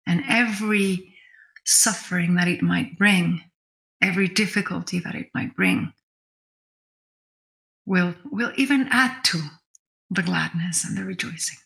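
Bright-voiced woman musing aloud. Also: fairly low pitch, a little slow, creaky, pauses often. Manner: reflective, restates her points. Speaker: podcast co-host. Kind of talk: spiritual discussion podcast.

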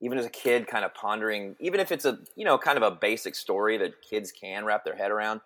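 Halting Texan-raised man in his forties. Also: lowish voice, fairly bright tone. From Texas. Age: 40s. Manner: halting, many restarts and fillers. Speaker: guest. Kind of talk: casual interview chat.

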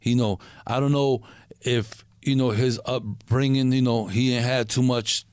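A middle-aged man from Puerto Rico, a guest in a casual interview, who talks in a halting way, repeating words and using street slang. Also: medium pitch, steady tone, a little mellow, smooth, no echo, studio quality.

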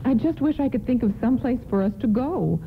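A woman's voice: fairly high pitch, lively intonation, a little fast, creaky. A woman acting a courteous, hesitant, worried mother in a radio play. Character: polite, worried mother stranded with her children. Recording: lo-fi, faintly noisy radio broadcast.

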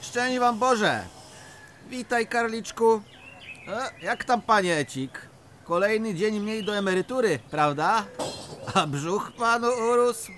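An actor playing a cheeky, teasing miner who loves telling stories, in a radio drama. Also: male voice, sits high, expressive, takes it slowly, fairly bright tone, slight background noise, heavily acted. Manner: teasing, jovial. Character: male miner Bulandra, cheeky storyteller.

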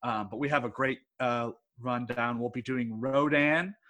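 A man's voice: medium pitch, steady tone, a little slow, pushed and bright. A man in his twenties from Texas, a guest on a podcast chatting casually and enthusiastically, full of "like" and "uh". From Texas, USA. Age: 20s. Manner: enthusiastic and rambling, heavy use of "like" and "uh". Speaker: podcast guest, host of a film podcast.

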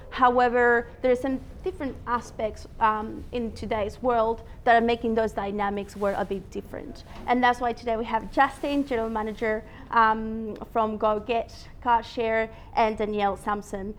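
A woman opens and guides a live panel talk, friendly and full of small hesitations. Her voice is a little high. A faint room noise sits under her. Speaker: host and moderator. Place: workspace event room with small audience.

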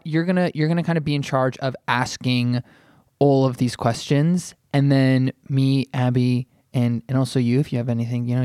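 A man's voice: mid-range voice, darkish tone, clean tone, no room sound, studio quality. A man hosting a podcast in a casual, opinionated way, discussing art informally. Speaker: podcast host.

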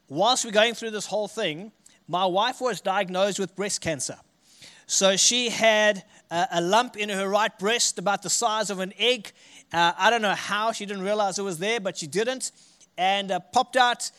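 Middle-aged South African male pastor, bright-pitched, smooth clean tone, easygoing sermon delivery. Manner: casual, friendly, with filler words. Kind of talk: sermon testimony. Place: church.

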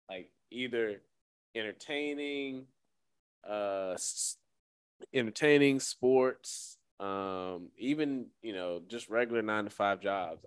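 A man's voice: sits low, clean tone, dry recording. A man hosting a radio show in casual chat, hedging and thinking aloud as he talks.

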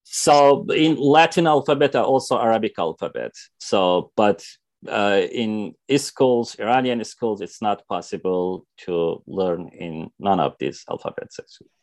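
A man's voice: on the low side, unhurried, darkish tone, no room sound. A young man from Iran, a native Azerbaijani Turkish speaker, answering interview questions in a hesitant way with many fillers and restarts. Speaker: interview guest, activist.

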